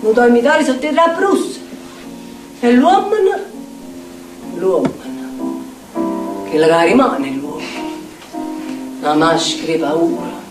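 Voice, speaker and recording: Echoey far-off stage sound, tormented neapolitan outcast, slow dramatic chanting delivery, theatre monologue. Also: female voice, medium pitch, lively intonation. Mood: anguished. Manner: incantatory, dramatic, repetitive phrasing. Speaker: stage actress. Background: plucked guitar music.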